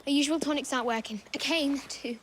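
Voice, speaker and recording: A woman's voice: high voice, quick pace, slight background noise, lightly acted. An actress playing a polite, frail young Victorian girl in a game, weak and ill. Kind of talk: video game cutscene acting.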